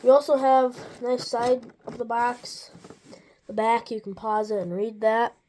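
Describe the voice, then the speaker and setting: A woman's voice: fairly high pitch, level tone, unhurried, a little mellow, slight background noise. A woman hosting a farm toy channel, giving a casual, rambling toy review with many asides while her nose is stuffy.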